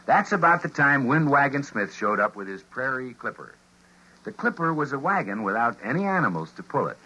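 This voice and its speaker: A genial man tells a folksy tall tale in a vintage radio spot. His voice is bright and a bit husky, with lively pitch. The old recording sounds dull. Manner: genial, folksy yarn-spinning. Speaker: radio commercial narrator. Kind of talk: folksy scripted storytelling.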